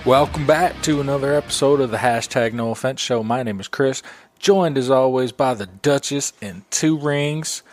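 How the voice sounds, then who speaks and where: A man hosting a comedy podcast, bantering casually with plenty of swearing and animated, crude storytelling. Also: medium pitch, moderate pace, faint music behind. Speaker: podcast host.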